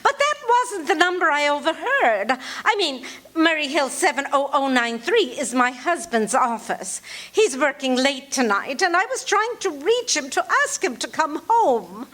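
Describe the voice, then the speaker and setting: A woman's voice: high-pitched, fairly bright tone. An actress playing a fretful, imperious bedridden wife in a live radio-theatre show, indignant and fussy, stumbling over her words. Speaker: lead actor in a radio play. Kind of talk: live radio-theatre acting before an audience.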